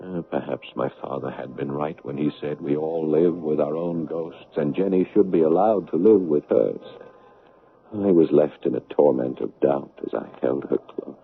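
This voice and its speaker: A man playing an earnest, self-righteous fiancé and son in a 1970s radio drama, measured, insistent and solemn. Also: rumbling low, gravelly, husky, full character voice. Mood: solemn, confessional. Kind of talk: 1970s radio drama acting and confessional narration. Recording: muffled, phone-like old radio broadcast.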